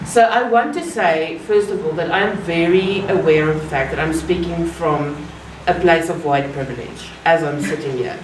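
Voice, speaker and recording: Earnest Afrikaans-accented woman in a roomy gallery. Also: sits low. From South Africa. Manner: measured, earnest, deliberate pauses. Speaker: guest speaker. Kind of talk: public talk. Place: art gallery. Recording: roomy, distant mic, faint ambient noise.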